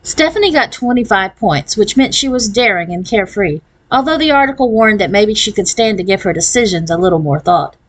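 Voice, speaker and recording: A woman's voice: medium pitch, expressive, a little fast, hardly any pauses, low-quality recording. A woman reading a children's novel aloud as a first-person narration. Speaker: storybook reader.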